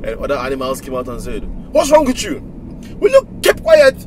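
A chuckling, evasive dad. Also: male voice, medium pitch, wildly swooping pitch, a little fast, noisy background. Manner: playful, chuckling storyteller, hesitant. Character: cheerful evasive father talking to his daughter. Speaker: father. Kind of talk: acted drama scene. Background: music.

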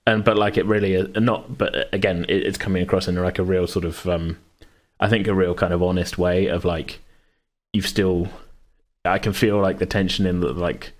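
A man's voice: low-pitched, fairly even intonation, normal speed. A man chatting casually as a game master, in a rambling, hedging way. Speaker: game master and podcast host. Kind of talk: casual chat about a tabletop game.

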